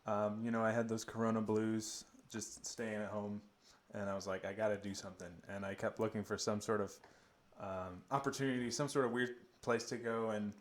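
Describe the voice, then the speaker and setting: A man's voice: on the low side, little pitch movement, a little fast. A man in his twenties co-hosting a podcast in a casual, conversational way. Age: 20s. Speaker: podcast co-host. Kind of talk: casual chat.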